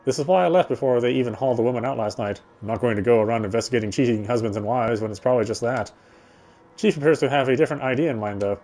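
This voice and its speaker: A man's voice: mid-range voice, very fast, darkish tone, soft background music. A man reading first-person visual novel narration aloud in a conversational way, now and then stumbling and repeating himself.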